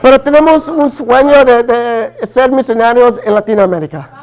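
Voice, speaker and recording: A man's voice: very high-pitched, moderate pace, low-quality recording. A man in his early thirties from Los Angeles with a Chicano accent, preaching a sermon in a hesitant way, with many fillers and restarts. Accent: Chicano Spanish. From Los Angeles, family from Guatemala. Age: early 30s. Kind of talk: Spanish-language sermon.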